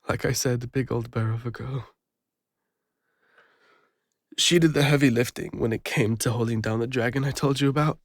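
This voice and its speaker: A man with an English accent playing a guilt-ridden, lonely retired adventurer, speaking haltingly and emotionally in an intimate fantasy audio roleplay. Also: medium pitch, fairly even intonation, no room sound, studio quality, lightly acted. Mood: fond, nostalgic.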